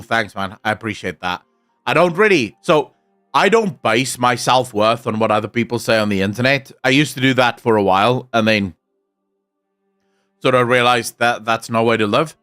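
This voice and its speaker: South african guy streaming, soft accent, afrikaans first language, chatty and blunt, swears a bit, faint music behind. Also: medium pitch. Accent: soft South African English. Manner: candid, swears casually, answers chat. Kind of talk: casual live-stream chat, reading comments.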